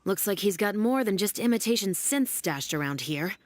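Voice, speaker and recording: A woman playing a confident, deductive investigator in a video game, with an assured, deliberate delivery. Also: mid-range voice, animated, slight background noise, close to an everyday voice. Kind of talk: scripted video game voice acting.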